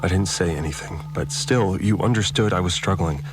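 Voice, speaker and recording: A male actor as a low-voiced farmer weighed down by guilt, giving a confessional monologue in an audio drama. He is anxious and apologetic, feeling his way haltingly through his words over prominent music. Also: close to an everyday voice. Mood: troubled, haunted.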